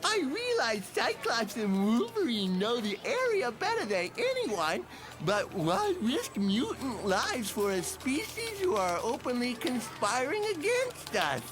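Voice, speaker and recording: A scornful young female mutant, high and very animated in pitch. She talks slowly, cynical and coquettish, in comic-book drama over music. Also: rough recording. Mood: indignant. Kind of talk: dramatic motion comic voice acting.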